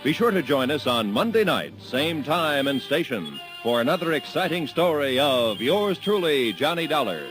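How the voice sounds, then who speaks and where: A man announcing credits in a formal, polished old-time radio style. His voice is lively in pitch, and music plays clearly behind him. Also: normal speed, hardly any pauses.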